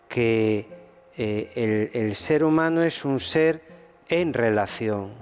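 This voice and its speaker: Hesitant man in a hall. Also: medium pitch. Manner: hesitant, many restarts and fillers. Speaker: theologian guest. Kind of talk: panel discussion.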